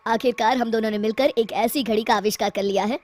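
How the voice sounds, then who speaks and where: A woman voicing a worried male scientist with a lowered, earnest tone in a cartoon story. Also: steady tone, fast talker, a little mellow, close to an everyday voice.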